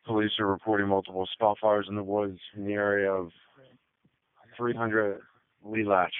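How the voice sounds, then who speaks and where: A man's voice: fairly low pitch, flat delivery, moderate pace, clear, resonant tone, no echo. A man working as a dispatcher, speaking in procedural emergency radio traffic over a two-way radio. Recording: tinny narrowband radio transmission.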